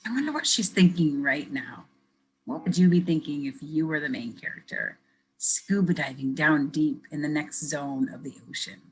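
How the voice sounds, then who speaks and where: A woman educator reading a picture book aloud to children, pausing to ask them questions in a gentle, expressive way. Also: deep voice, poor audio. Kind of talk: children's read-aloud with teacher commentary.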